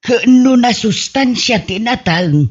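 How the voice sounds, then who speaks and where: A woman's voice: fairly high pitch, animated, slow, breathy edge, exaggerated voice. A woman playing a matter-of-fact older village woman, persuasive and insistent in a comedy radio drama. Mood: advising. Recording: muffled audio with music underneath.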